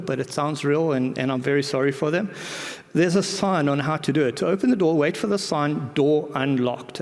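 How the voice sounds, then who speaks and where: A man giving an informal conference talk in a conversational, wryly humorous manner. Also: on the high side. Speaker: conference speaker. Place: large indoor conference venue. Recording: echoey hall reverb.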